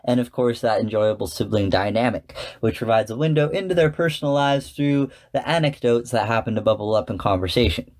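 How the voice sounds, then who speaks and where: A man's voice: medium pitch, a little mellow. Articulate, theatrical man hosting a podcast. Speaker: podcast host and reviewer. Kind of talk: scripted podcast commentary.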